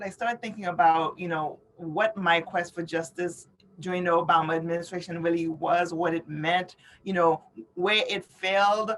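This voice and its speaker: A woman's voice: lowish voice, slight background noise, rough recording. A middle-aged woman from New York City, born in Haiti, giving earnest, explanatory interview answers and often checking in with "you know" and "right?". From born in Haiti, raised in New York City. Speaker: interview guest, author and advocate.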